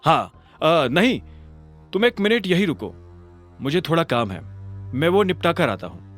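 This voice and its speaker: A man's voice: on the high side, big pitch swings, fairly bright tone, faint music behind. A man playing a guarded, evasive young man in an audio drama, speaking hesitantly and dodging. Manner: hesitant, halting, deflecting. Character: guarded, evasive young man hiding his true work. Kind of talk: Hindi audio drama dialogue.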